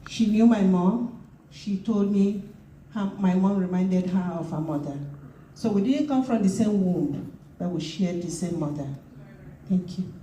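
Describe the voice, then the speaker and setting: A woman from Nigeria speaks at a memorial in a slow, earnest and heartfelt way, and her voice is very dark and mellow. She is heard from a distance in an echoey room with a faint wash of noise. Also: medium pitch. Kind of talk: memorial tribute speech. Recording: roomy hall mic from far off with background noise.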